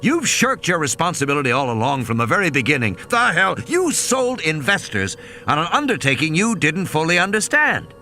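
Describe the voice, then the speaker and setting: A man's voice: fairly high pitch, sing-song, brisk, pushed and bright, slight background noise, voice pushed up. A man voicing a commanding, angry male scientist in an audiobook, blunt and scolding. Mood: furious, scolding. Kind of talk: audiobook character dialogue.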